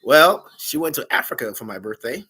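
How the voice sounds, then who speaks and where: Man, big loud voice, animated storytelling chat. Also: mid-range voice, a little fast, slightly rough. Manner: animated, laughing, quotes himself in raised voice. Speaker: dating show guest.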